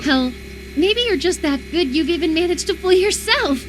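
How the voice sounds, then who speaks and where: A woman playing an obsessive, sweetly menacing young female captor in a dramatic horror visual novel, coaxing with a sharp edge. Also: extremely high pitch, expressive, normal speed, background noise. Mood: eerie, accusing.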